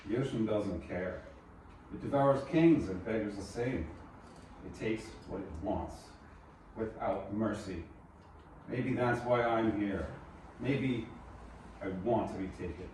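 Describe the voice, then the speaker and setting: A man's voice: on the low side, darkish tone, slight background noise. Broken male musician, acted by a man for film drama, stammering with guilt, very echoey recording. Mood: bleak, resigned. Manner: halting, stammering. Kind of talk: dramatic table-read acting. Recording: very echoey room, far from mic.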